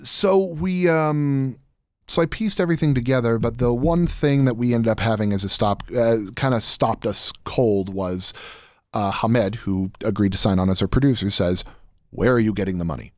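A man's voice: medium pitch, normal speed, no echo. A man in his thirties, a guest chatting casually about his work into a close studio microphone. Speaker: guest, audio drama creator. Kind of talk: casual interview storytelling. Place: small indoor studio. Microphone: close studio microphone on boom arm, headphones.

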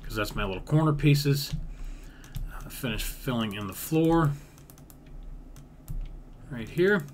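A man with a mid-pitched voice gives casual game-building commentary, thinking aloud as he goes. He often repeats himself and talks in folksy grammar. There is some faint noise and keyboard clicking behind him. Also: expressive, takes it slowly, long pauses. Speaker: gaming video creator. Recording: background noise with keyboard typing.

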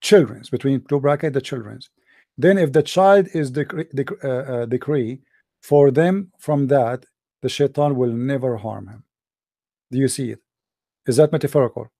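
Gravelly guy hosting a religion debate, dark dry sound, arabic is his first language, sarcastic. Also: mid-range voice, moderate pace, dry recording. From Middle East. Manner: sarcastic, probing questions. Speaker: host.

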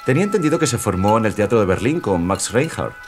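An actor playing an imperious yet courteous German dictator in a Spanish audio drama. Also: male voice, fairly low pitch, very animated, quick pace, soft background music.

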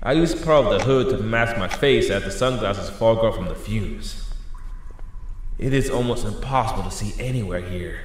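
A man's voice: lowish voice, animated, normal speed, noisy background, lightly acted. A man playing a nervous, sarcastic young vigilante searching sewers in an audio drama, narrating his thoughts. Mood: uneasy, grim. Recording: very echoey tunnel reverb, music underneath.

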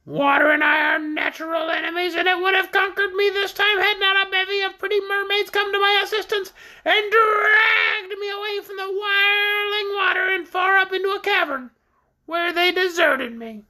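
A woman voicing a proud, indignant, chatty bird-like creature from a storybook, with a shrill, squawky voice and a haughty, long-winded manner. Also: fairly even intonation, normal speed, bright, forceful tone. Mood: haughty, recounting. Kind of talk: storybook character voice in read-aloud.

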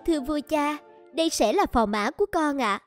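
A woman voicing a gentle young cartoon princess, a dutiful daughter who speaks politely and deferentially. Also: high voice, expressive, moderate pace, over loud music. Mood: respectful. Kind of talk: cartoon fairy tale dubbing.

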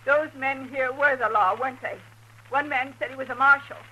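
Shrill, wary frontier wife. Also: female voice, fairly even intonation, moderate pace, bright, forceful tone, low-quality recording. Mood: anxious, accusing. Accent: rural Western American. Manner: guarded, plain-spoken. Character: wary, weary, poor frontier homesteader wife. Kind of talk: 1950s radio western acting. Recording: hissy, thin vintage 1950s radio recording.

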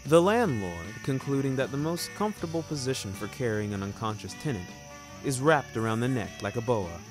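A man's voice: medium pitch, lively intonation. A man narrating scripted fiction in a wordy, wry and theatrical way, taking his time over phrases. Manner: wordy, wry, deliberate storytelling. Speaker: narrator of an audio fiction series. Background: music.

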